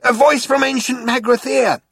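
A man voicing a brash, cocksure, excitable male space adventurer in a loud, impatient manner. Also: medium pitch, brisk, dry recording, clean studio sound, pitched above the performer's own voice. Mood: amazed.

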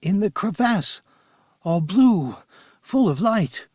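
Man acting a strained, higher-voiced stoic ice trekker. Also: big pitch swings, slow, darkish tone, no room sound, exaggerated voice. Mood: dazed, breathless. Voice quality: breathy, strained, gasping.

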